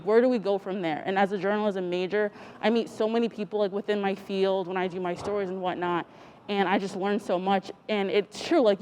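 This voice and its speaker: A woman's voice: medium pitch, slight background noise. Earnest young woman student. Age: young adult. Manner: earnest, steady, lots of "like". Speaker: student panelist. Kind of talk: casual panel conversation.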